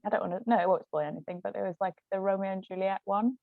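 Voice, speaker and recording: A woman's voice: mid-range voice, steady tone, very fast, gravelly, phone-quality sound. A woman chatting casually and animatedly as she tells anecdotes in an interview, giggly and peppering her talk with "like". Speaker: author and stage actress, interview guest.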